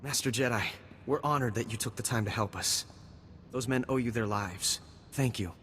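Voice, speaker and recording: Casting: male actor as anxious, earnest army lieutenant for a sci-fi game, urgent delivery, mid-range pitch, long reverberant room. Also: normal speed, a bit bright, close to an everyday voice. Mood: relieved, elated. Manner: urgent, faltering, pleading. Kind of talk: scripted video game cutscene acting. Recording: long reverberant hall, faint background noise.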